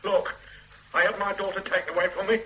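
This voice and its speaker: A man's voice: high voice, little pitch movement, fairly quick, sharp tone, muffled recording, a little hiss or hum. An actor in a radio drama playing a desperate father in his fifties who is on the run from the police, talking in a pleading, insistent way. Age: 50s. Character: desperate older father, fugitive murder suspect. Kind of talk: 1980s radio drama acting.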